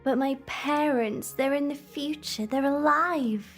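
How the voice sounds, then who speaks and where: A woman's voice: sits high, unhurried, a bit bright, faint music behind. A young woman playing a plucky, outspoken time-traveller's companion in an audio drama, candid and questioning. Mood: relieved, homesick.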